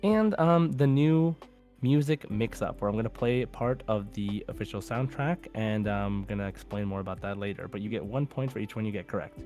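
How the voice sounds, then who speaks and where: A man hosting a quiz podcast in a casual, conversational way, reading out deliberately as quizmaster. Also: fairly low pitch, a little mellow, faint music behind.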